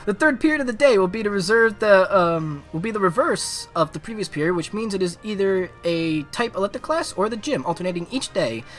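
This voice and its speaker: A formal, poised student council president girl, acted by a man in a visual novel. The speech is measured and earnest. The voice sits high, with faint music behind it. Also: animated, a bit bright.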